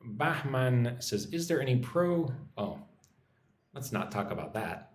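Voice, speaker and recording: A man's voice: mid-range voice, very animated, a little slow. A man with an American accent hosting a casual English-teaching livestream, reading out comments and greeting viewers in an informal, chatty way. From United States. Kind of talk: casual live chat, reading out and answering comments. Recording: roomy echo, faint background noise.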